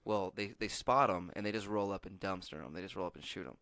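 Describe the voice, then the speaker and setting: Rambling, low-voiced man on a podcast. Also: fast, fairly bright tone, dry recording. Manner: rambling storyteller, frequent restarts and profanity. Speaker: podcast co-host. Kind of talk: casual chat.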